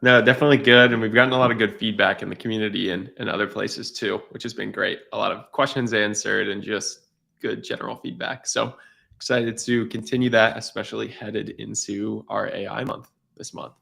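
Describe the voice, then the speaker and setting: A man co-hosting a casual livestream chat. His lowish voice has a gravelly edge but sounds bright. He keeps things upbeat and agrees easily with his partner. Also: steady tone, a little fast. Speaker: livestream co-host.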